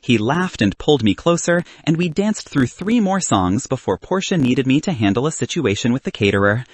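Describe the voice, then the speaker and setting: A man narrates a scripted romantic comedy in the first person. His voice is slightly husky and moves animatedly in pitch, and the recording is close and dry. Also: sing-song, normal speed, no echo. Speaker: audiobook narrator.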